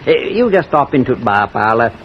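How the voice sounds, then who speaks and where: An actor playing a chatty, obliging British village innkeeper with a folksy manner in a vintage radio drama. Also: male voice, mid-range voice, very animated, brisk. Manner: folksy, eager to please. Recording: muffled old radio recording, faint noise.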